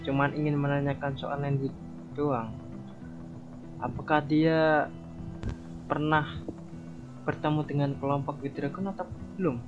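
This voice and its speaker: Man playing a direct, flat-toned mafia member. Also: on the high side, a little slow, pauses often, lightly acted. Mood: direct, instructing. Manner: direct, casual, instructing. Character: direct, practical male mafia member giving instructions. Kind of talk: online game roleplay dialogue. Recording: muffled game voice chat with music.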